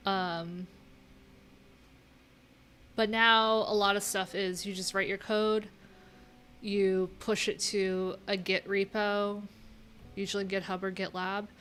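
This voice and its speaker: A woman streams from a small room at home with faint noise behind her. Her voice sits at an average pitch. She talks in an offhand, wry way, thinking aloud as she goes through what is on her screen. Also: flat delivery, slow pace, pauses often, fairly bright tone. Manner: offhand, wry, chatty asides while reading screen. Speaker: livestream host and web developer. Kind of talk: casual live coding stream chat, thinking aloud.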